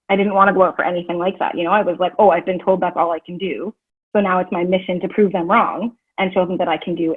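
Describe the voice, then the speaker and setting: A woman actor speaking openly and chattily in an interview. Her voice is husky and thin, coming through a tinny, phone-like line with faint music underneath. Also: medium pitch. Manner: open, chatty, lots of "like" and "you know". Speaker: stage actor.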